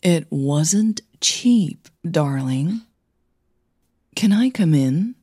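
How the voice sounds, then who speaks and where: A woman voicing a composed, caring singing coach in an audio drama, reassuring and gently teasing as she probes. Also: low-pitched, animated, extremely slow, husky, dry recording, studio quality, heavily acted. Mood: dry, teasing.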